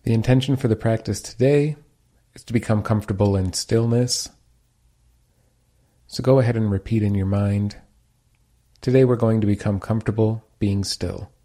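A man leading a guided meditation as its teacher, giving calm, gentle, unhurried instructions. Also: fairly low pitch.

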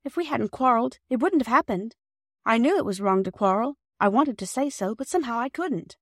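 A woman's voice: fairly high pitch, animated, a little fast, dry recording, clean studio sound, voice pushed up. A woman voicing an anxious, earnest young girl who frets and blames herself. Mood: fretful. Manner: earnest and fretful.

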